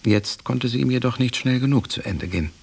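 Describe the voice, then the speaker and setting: A man playing a devoted attendant in a radio drama, narrating in a measured, formal and deferential manner. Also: medium pitch, animated, creaky, a little mellow, husky. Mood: sober, reporting. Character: devoted, sober male attendant recounting his master's decline.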